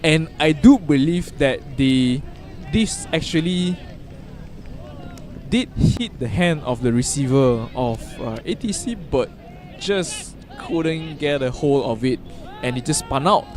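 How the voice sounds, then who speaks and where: A man doing live play-by-play commentary at an outdoor field, given to sudden loud exclamations. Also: fairly high pitch, lively intonation, takes it slowly, soft background music, a faint crowd. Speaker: sports commentator.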